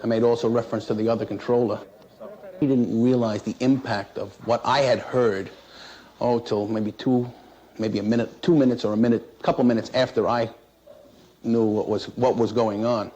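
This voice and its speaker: A man who works as an air traffic controller recounts his experience in an interview in a halting, plain-spoken, matter-of-fact way. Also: mid-range voice, fairly even intonation, warm tone. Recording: noisy 1960s interview recording.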